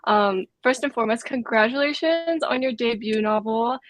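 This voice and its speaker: A woman's voice: a little high, moderate pace, no room sound. A woman hosting a book club interview, reading welcomes and questions in a prepared way.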